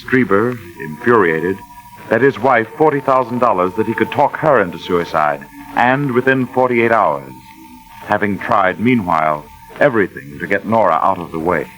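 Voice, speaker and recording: An actor serving as narrator of a 1940s radio suspense drama, formal, measured and ominous, on a vintage recording. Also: male voice, on the low side, animated, a bit bright, faint music behind, poor audio. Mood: grave, ominous. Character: grave male narrator of a suspense story.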